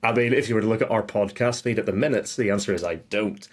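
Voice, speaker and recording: A man's voice: lowish voice, quick pace. A man giving casual, informal answers as a podcast guest, with a self-deprecating way of talking. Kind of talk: casual conversational interview answers.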